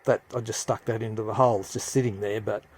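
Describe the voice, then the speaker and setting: Man thinking aloud, halting delivery. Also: lowish voice, fairly even intonation, a little mellow, breathy edge. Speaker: hobbyist radio presenter. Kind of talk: casual hands-on demonstration narration.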